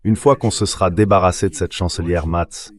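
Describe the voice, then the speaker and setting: A man's voice: low-pitched, steady tone, fairly quick, natural voice. A man's synthetic dubbing voice, speaking as a guest giving translated interview commentary.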